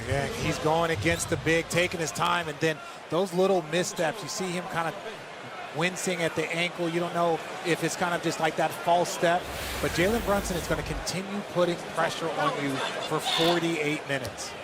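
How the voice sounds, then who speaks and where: A man's voice: a little high, steady tone, normal speed, a loud crowd, very noisy. A man giving live color commentary on a basketball game as an analyst.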